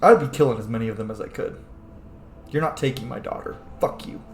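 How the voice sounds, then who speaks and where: A man's voice: medium pitch, brisk. A man doing casual game commentary as a let's-player, blunt, playful and sweary as he reacts aloud. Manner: animated, blunt, profane, playful. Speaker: gaming streamer, let's-player. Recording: roomy echo, faint background noise.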